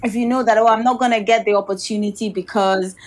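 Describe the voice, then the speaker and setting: A woman, her Yoruba, answering interview questions in a reflective way. She speaks at a middling pitch and often circles back with restarts and "you know". The talk sounds measured and considered. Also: fairly even intonation. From Nigeria. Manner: thoughtful, many restarts and "you know", occasional light laughs. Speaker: guest architect and designer.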